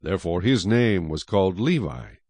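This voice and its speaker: A male narrator reading scripture as a dramatic story. His voice is on the low side with lively, expressive intonation. The recording is close and dry. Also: moderate pace, husky, no room sound.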